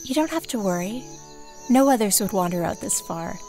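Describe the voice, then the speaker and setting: An actress playing a gentle, earnest dye maker who gathers plants, speaking politely in a fantasy audio drama. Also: female voice, mid-range voice, lively intonation, moderate pace, soft background music, natural voice. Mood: earnest reassurance. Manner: polite, admiring, reassuring.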